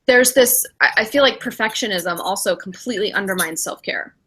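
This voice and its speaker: A woman's voice: mid-range voice, brisk, a bit bright, husky. A middle-aged woman, a spiritual director hosting a casual podcast chat, reflective and acting out her own inner thoughts as she talks.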